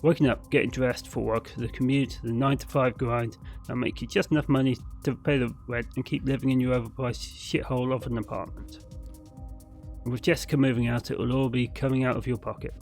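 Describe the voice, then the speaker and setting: A man with a medium-pitched voice narrates a visual novel. He reads scripted prose in a dramatic storytelling manner, occasionally tripping on a word. Soft music plays faintly behind him. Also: steady tone, a little fast. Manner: dramatic storytelling with occasional stumbles over words. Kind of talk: scripted narration read aloud.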